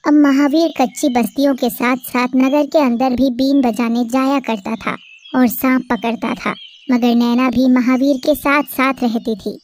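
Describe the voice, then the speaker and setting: A woman narrating a cartoon story in an even storytelling manner. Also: high-pitched, measured pace, slight background noise.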